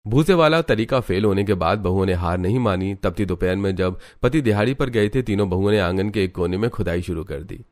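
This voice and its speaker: A man's voice: on the low side, brisk, few pauses, soft background music, lightly acted. A man narrating a scripted cartoon family story as the storyteller.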